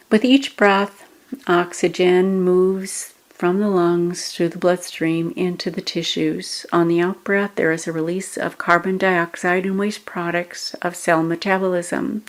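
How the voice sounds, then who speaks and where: Slow, low-pitched woman guiding meditation. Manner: measured, instructional, with pauses between short phrases. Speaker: meditation guide. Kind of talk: guided meditation narration.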